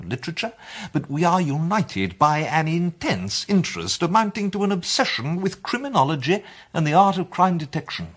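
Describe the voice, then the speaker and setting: A grand, self-satisfied club president in a period radio drama. His upper-middle-class English voice is husky and swoops expressively as he savours each formal, oratorical phrase. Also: a little high, a bit bright, full character voice. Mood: grandly proud. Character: pompous, self-satisfied male president of a gentlemanly detective club. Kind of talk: 1920s-set British radio play acting.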